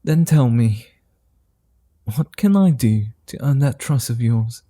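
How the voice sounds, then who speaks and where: Male vampire, gentlemanly and amused, man voicing: extremely slow delivery, very dark and mellow tone, formal old-world phrasing, ASMR roleplay. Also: medium pitch, expressive, no echo, clean studio sound. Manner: formal, old-fashioned phrasing with soft chuckles.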